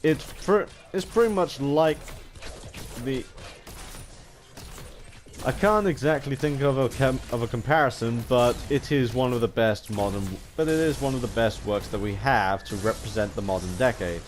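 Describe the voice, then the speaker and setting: A man giving unscripted, rambling commentary as a gaming video host, halting and often restarting his sentences mid-word. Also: mid-range voice. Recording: home recording with background noise.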